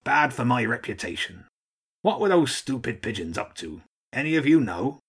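A man voicing a gruff old seagull archduke in a storybook, commanding and shrewd, barking out orders. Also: medium pitch, very animated, moderate pace, a bit bright, exaggerated voice. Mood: wry, relaxed. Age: older.

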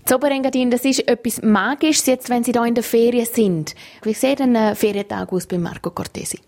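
A woman with a Swiss German accent hosting a radio interview and asking measured questions. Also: on the high side, a little mellow. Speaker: radio host.

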